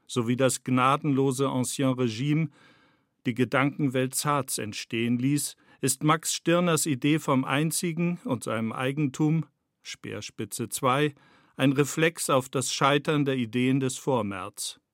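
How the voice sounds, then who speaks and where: A man's voice: medium pitch, measured pace, no echo. Dry-sounding male radio feature narrator. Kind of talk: scripted essayistic narration.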